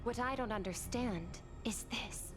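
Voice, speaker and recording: An actress playing a cool, logical official with a clinical, formal and exact way of speaking. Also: female voice, fairly high pitch, measured pace, close to an everyday voice. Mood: questioning, formal. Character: precise, analytical female official, cool and logical. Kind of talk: dramatic game voice acting. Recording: music behind the voice.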